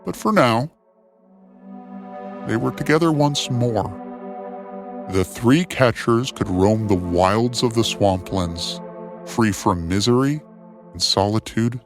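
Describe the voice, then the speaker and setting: Male story narrator, lowish pitch, very slow, solemn, measured, dramatic scripted read, clear music bed. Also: expressive. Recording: music under the voice.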